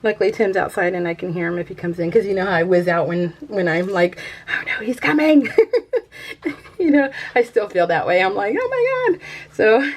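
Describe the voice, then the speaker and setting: A woman's voice: mid-range voice, fairly quick. An American woman chatting casually to viewers as a craft vlogger, rambling and giggly, poking fun at herself and often trailing off mid-thought. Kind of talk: casual chat to viewers while showing a craft project.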